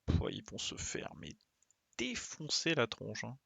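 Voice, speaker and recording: A man's voice: medium pitch, huge pitch swings, unhurried, gravelly, a bit bright, breathy edge, dry recording. A man giving casual live gameplay commentary, thinking aloud and muttering to himself with small playful sound effects. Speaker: game streamer.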